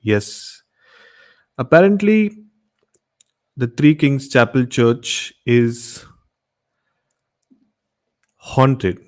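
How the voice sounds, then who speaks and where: An Indian-accented man presents a late-night horror programme at a slow, deliberate pace. His talk is casual and unscripted, and he circles back over phrases to stress them. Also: medium pitch, sing-song, a little mellow. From Goa, India. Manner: repetitive, emphatic phrasing. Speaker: host of a late-night horror radio show. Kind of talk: casual hosting, unscripted introductions.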